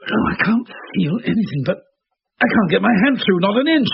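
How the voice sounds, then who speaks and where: Desperate, defiant man, highish voice with big swings, radio play, dull slightly hissy recording. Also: big pitch swings, brisk, gravelly, full character voice. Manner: emphatic, defiant, agitated. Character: stubborn, desperate man trying to break out. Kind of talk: British radio drama acting. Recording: dull radio recording, faint hiss and clicks.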